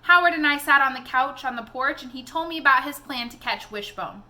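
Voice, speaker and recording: Bright, high-voiced woman reading aloud. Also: lively intonation, fairly quick. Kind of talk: expressive read-aloud of a children's novel.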